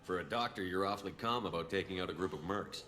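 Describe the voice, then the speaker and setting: A man's voice: low-pitched, fast, bright, forceful tone. A man playing a tough, no-nonsense military commander in a video game, firm and terse. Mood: level, inquiring. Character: tough, no-nonsense human male soldier commander, fair but threatening. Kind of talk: video game dialogue acting. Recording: long hall-like reverb.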